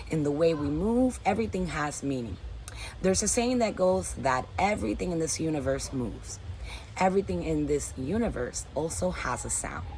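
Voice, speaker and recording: A woman's voice: sits low, a little hiss or hum, rough recording. A woman in her forties from the Dominican Republic, speaking in an earnest, upbeat way about her work as a teaching artist, recorded on a phone in a backyard. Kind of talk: personal statement to camera. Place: backyard under a canopy, outdoors.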